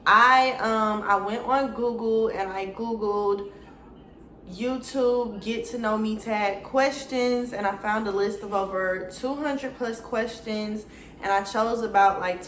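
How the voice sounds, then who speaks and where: A woman's voice: medium pitch, a bit bright, echoey room, a little hiss or hum. A woman in her twenties from Atlanta with an Atlanta accent, chatting casually to her viewers in a get-to-know-me video. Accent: Atlanta Southern US. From Atlanta, Georgia, USA. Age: 20s. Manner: chatty, slangy, addresses viewers directly. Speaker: YouTuber.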